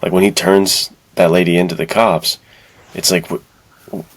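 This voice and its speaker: Man, very deep voice, podcast co-host, informal film discussion, enthusiastic and opinionated. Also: fairly even intonation, normal speed.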